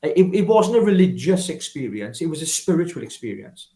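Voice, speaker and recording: A man's voice: a little high, big pitch swings, fairly quick, vocal fry, mellow, echoey room. A Welshman in his fifties, a guest telling his life story in an earnest, personal way with many restarts. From Wales. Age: 50s. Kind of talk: casual interview talk.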